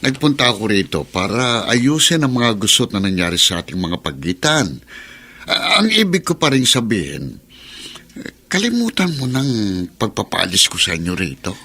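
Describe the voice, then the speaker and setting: An actor playing a hesitant, conciliatory man in a Filipino radio drama, speaking in a halting, placating way. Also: mid-range voice, huge pitch swings, pushed and bright, breathy edge, full character voice. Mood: apologetic. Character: hesitant, conciliatory male land claimant.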